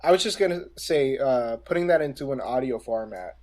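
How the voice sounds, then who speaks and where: A man with a medium-pitched voice speaks as a co-host in a casual podcast discussion. He is deliberate and halting, often pausing partway through a sentence before carrying on. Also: lively intonation, a little mellow. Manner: halting, deliberate, many pauses mid-sentence.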